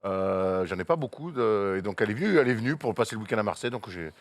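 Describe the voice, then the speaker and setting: A man's voice: lowish voice, expressive, quick pace, no echo. A tired man, a panelist rambling through a casual recap of his weekend.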